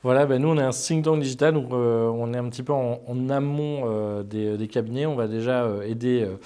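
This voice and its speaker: A middle-aged man with a mellow, darkish voice, speaking on a business panel. His manner is brisk and assured, and he talks with ease about the subject. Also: medium pitch, slight background noise. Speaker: think tank head. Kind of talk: panel discussion.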